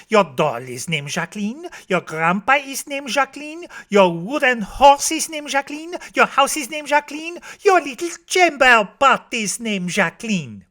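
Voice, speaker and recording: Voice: medium pitch, fairly bright tone, voice pushed up. A storyteller voicing an eager, singsong, chattering little girl in a children's storybook reading.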